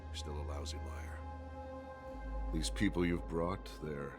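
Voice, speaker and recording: An actor playing a formal, earnest guard captain with a halting manner in a video game. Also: male voice, extremely low pitch. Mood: firm, regretful. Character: dutiful, formal male guard captain, soft on an old bandmate. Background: game music.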